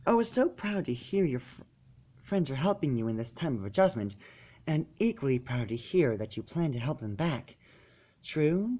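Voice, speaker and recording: Man voicing a gentle, formal old pony princess. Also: a little high, highs cut off. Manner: formal, measured, gently encouraging. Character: ancient kindly pony princess writing a gentle, formal letter.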